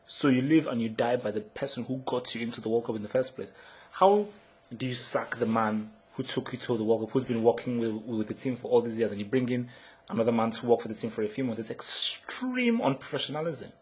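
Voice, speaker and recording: A man's voice: medium pitch, fairly quick, poor audio. Frustrated man ranting about football. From Nigeria. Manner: frustrated, repetitive, emphatic with stammered restarts. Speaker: football blogger. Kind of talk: solo match analysis rant.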